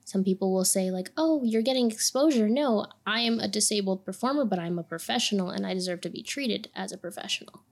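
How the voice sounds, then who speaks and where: Woman from Puerto Rico, native Spanish speaker, passionate podcast guest with a mid-pitched voice. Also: a little fast, creaky, few pauses. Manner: earnest, passionate, animated. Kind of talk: podcast conversation.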